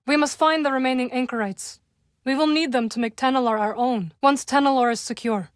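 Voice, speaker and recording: A woman playing a solemn, guarded young witch in a video game, with dry wit and measured, formal phrasing. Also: fairly high pitch, no room sound, natural voice. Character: young adult witch, solemn, guarded, dryly wry rebel. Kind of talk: video game character dialogue.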